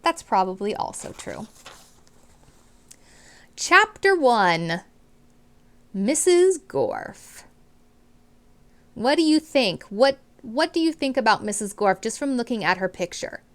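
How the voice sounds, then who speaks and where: Casting: female teacher for children's read-aloud, lively pitch movement, dramatic emphasis, speaks directly to young listeners. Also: takes it slowly, long pauses. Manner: animated, dramatic emphasis on key words.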